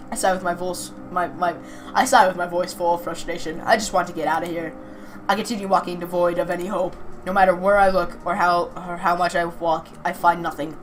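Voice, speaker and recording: A woman with a slightly low voice reads visual novel text aloud for a let's play. She trips over words, repeats phrases and drops in casual remarks, with light noise on the recording. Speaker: video host playing a visual novel.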